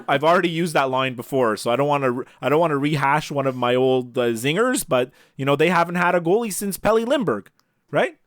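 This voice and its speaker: A man's voice: on the high side, expressive, brisk, dry recording. A man co-hosting a casual sports podcast, chatting on a close mic over a video call.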